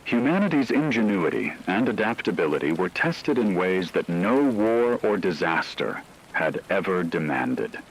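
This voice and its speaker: A man narrating a scripted apocalyptic story in a solemn, measured way. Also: sits low, big pitch swings, slight background noise, rough recording.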